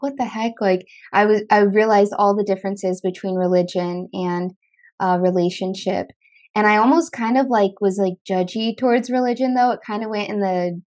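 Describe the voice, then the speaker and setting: A young woman hosting a faith podcast, chatting enthusiastically with a guest, filler words scattered throughout, into a boom mic at home. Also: darkish tone. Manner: chatty, enthusiastic, many likes. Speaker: podcast host. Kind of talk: casual interview chat. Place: room at home.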